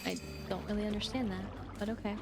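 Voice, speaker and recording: A woman's voice: mid-range voice, fairly even intonation, fast talker. Casual woman rambling over game music. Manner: rambling, thinking aloud. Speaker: game streamer. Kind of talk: casual live gaming commentary.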